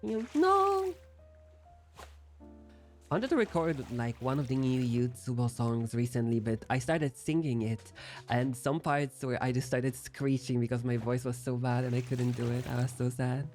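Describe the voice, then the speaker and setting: A man's voice: medium pitch, lively intonation, normal speed, soft background music. Playful rambling young male streamer. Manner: rambling, playful, self-deprecating. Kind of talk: casual chat while gaming.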